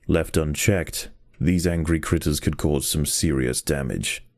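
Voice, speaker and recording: A man performing a solemn nature documentary narrator for a game, measured and ominous in delivery. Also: a bass voice, vocal fry, darkish tone, dry recording, studio quality, full character voice. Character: grave nature documentary narrator of ant wars.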